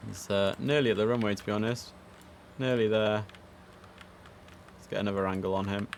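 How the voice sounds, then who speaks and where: A man's voice: lowish voice, steady tone, a little fast, vocal fry. A man giving casual live-stream commentary as he plays air traffic controller, easygoing and half talking to himself, slipping into clipped controller phraseology.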